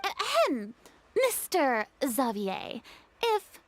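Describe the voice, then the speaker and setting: Haughty young diva, high voice, swooping dramatic intonation, pompous, video game character acting. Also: female voice, wildly swooping pitch, extremely slow, heavily acted. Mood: opinionated. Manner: pompous, dramatic, self-important. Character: haughty, theatrical young female stage star.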